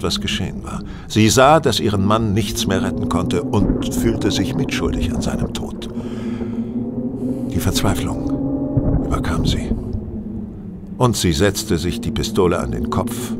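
Over clear background ambience comes a low, very animated male voice. He is a brilliant, unruffled gentleman detective of the radio-play kind, explaining each point with precise, courteous assurance. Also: heavy background noise. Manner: precise, measured, didactic, courteous. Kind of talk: German audio drama acting.

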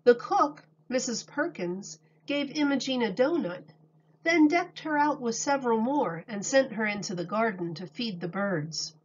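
A woman reading a picture book aloud to children like a teacher, deliberate and expressive. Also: on the high side, a little hiss or hum. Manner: deliberate, expressive, explains words for young children. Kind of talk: read-aloud storybook narration. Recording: dull lo-fi recording.

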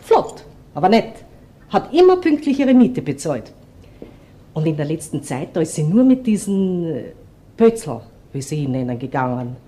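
A chatty, gossipy Viennese landlady in a radio crime drama. Her mellow voice rises and falls a lot as she pries in broad colloquial dialect. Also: sits low, very animated, normal speed. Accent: Austrian, Viennese. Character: chatty, nosy working-class Viennese landlady. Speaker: actor in a radio crime play.

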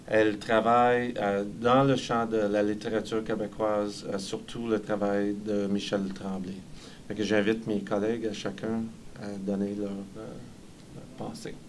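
Male moderator, low and monotone, halting intro, faint background noise. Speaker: panel moderator.

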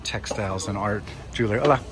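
A man narrating a relaxed walking tour of an outdoor market, speaking calmly and sparingly as a travel vlogger. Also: on the low side. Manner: calm, unhurried, sparse commentary. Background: crowd chatter.

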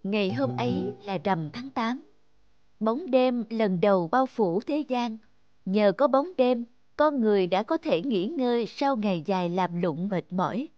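A storyteller giving scripted narration for a fairy tale in a measured, slow way. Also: mid-range voice, animated, lo-fi sound, faint music behind.

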